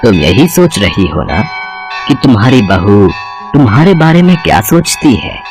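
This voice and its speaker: Man acting a deep, portentous holy man. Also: very animated, unhurried. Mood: knowing. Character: solemn, mystical holy man offering magic. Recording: music and bells behind voice.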